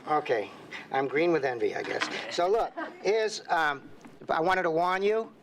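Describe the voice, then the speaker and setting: A man's voice: a little high, sing-song, a little slow, a bit bright. An older man with a Boston accent, testifying at a hearing in a chatty way with many fillers and restarts. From Boston, USA. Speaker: expert witness. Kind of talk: formal testimony with casual asides. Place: hearing room. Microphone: table microphone.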